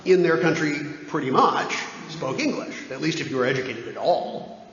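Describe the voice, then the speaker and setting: A man's voice: a little high, animated, moderate pace, a bit bright. A man, a parish priest, answering questions in casual talk, often checking in with his listeners. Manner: conversational, keeps checking in with "right?". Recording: echoey dull church hall recording.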